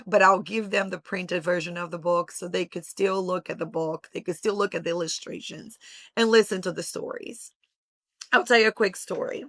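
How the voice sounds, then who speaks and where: A woman's voice: mid-range voice, pushed and bright, no echo. A woman in her forties from Brazil, talking earnestly and passionately as an interview guest. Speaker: guest, homeschooling mother. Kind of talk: interview conversation.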